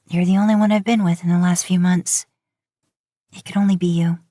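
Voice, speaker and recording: A woman's voice: fairly low pitch, steady tone, a little fast, mellow, no room sound, studio quality, close to an everyday voice. A woman voicing an anxious, earnest young woman in a fan fiction audiobook, nervous and pleading. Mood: weary, apologetic.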